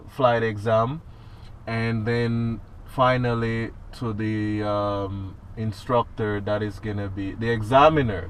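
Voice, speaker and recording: A man's voice: medium pitch, clean tone. A man with a Jamaican accent, a student pilot talking through a cockpit checklist in a casual instructional vlog and often asking "right?". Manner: talks through a checklist, tagging lines with "right?". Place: inside a small airplane cockpit on the ground.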